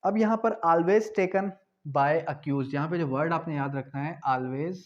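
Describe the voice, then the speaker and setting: A man from Pakistan giving an exam-prep law lecture, asking questions and then answering them, spelling out key terms slowly. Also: on the high side, dull audio. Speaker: law tutor.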